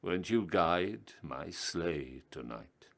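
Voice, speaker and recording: Storybook skeleton, played by a man: male, excitable, misguided, theatrical, slow exclamatory delivery. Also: sits low, creaky, exaggerated voice. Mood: urgent pleading.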